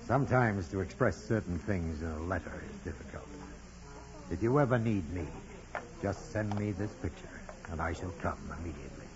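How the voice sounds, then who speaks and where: An actor playing a kindly, solemn parish priest in 1940s radio drama style, measured and gently paternal. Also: male voice, low voice, animated, crowd noise. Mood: tender farewell. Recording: dull vintage radio recording, music behind.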